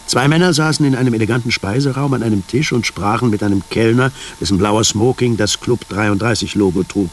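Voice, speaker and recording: A man narrating a science fiction radio play in a measured, detached, descriptive way. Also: on the low side, a little hiss or hum, natural voice. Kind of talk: scripted radio drama narration.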